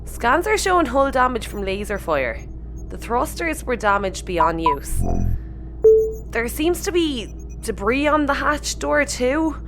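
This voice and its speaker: An Irish starship pilot with a conscience in an audio comedy. Her voice swings up and down, expressive and fretful, with music behind it. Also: sits high, measured pace, exaggerated voice. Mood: focused. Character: anxious, principled young woman spaceship pilot. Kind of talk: sci-fi audio comedy acting.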